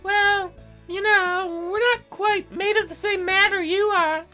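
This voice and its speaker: Man putting on a very high, needy fairy girl. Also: fairly even intonation, takes it slowly, sharp tone, soft background music, exaggerated voice. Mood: playful. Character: young girl cloud fairy, needy, chirpy, whimsical.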